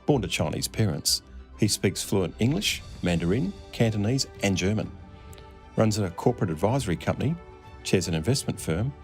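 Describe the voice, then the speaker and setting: Low-voiced male host narrating. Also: expressive, normal speed. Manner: polished, introducing guests. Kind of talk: scripted narration and interview questions. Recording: music playing underneath.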